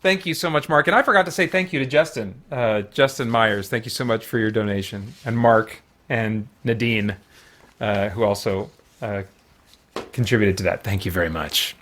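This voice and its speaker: A man's voice: lowish voice, moderate pace, a bit bright. A man chatting casually as a livestream host, in a rambling, self-deprecating way.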